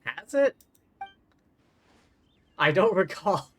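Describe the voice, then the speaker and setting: A man's voice: high voice, sing-song, very slow. A man livestreaming a game, reading dialogue aloud and adding playful, wry, casual asides. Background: game music.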